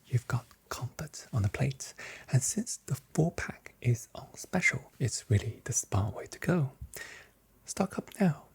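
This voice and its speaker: A very soft, whispering voice in an ASMR role play as a grocery shop assistant, giving gently playful sales patter. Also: mid-range voice, sing-song, measured pace, creaky.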